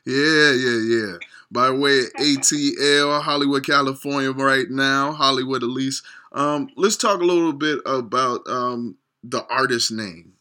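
A man's voice: medium pitch, measured pace, sharp tone, clean tone. Hype-talking male host, punchy tone. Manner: slangy, hype, repeats himself. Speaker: show host. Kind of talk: casual interview hosting.

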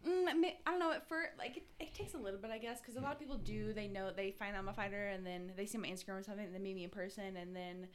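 A young woman with a soft voice, a pro fighter chatting casually as a podcast guest, laid-back and swearing freely. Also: medium pitch, steady tone, very fast, hardly any pauses, slight background noise.

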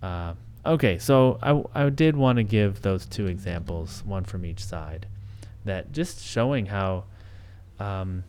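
A man's voice: low-pitched, animated, slow pace, darkish tone, smooth. A man hosting a solo podcast, talking things through in a thoughtful, hesitant way with many restarts and fillers. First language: English. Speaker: podcast host.

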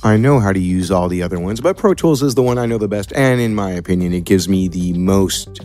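A man's voice: low voice, a little fast, a little mellow, soft background music. An American man who works as an audio producer, giving explanatory answers as an interview guest. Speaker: audio producer and sound designer, podcast guest.

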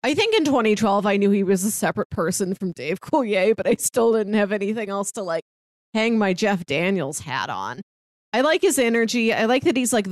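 A woman co-hosts a relaxed podcast about films, with a medium-pitched voice. She rambles along in a wry, joking way. The sound is dry, with very little room on it. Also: no room sound. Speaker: podcast co-host. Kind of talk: casual chat about films.

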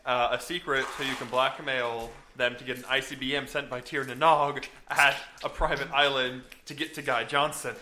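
Bright voiced guy gm-ing a tabletop game, laughs a lot as he lays out the scene. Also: medium pitch, little pitch movement, normal speed, few pauses, a little hiss or hum. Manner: laughs easily while describing scenes. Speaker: game master.

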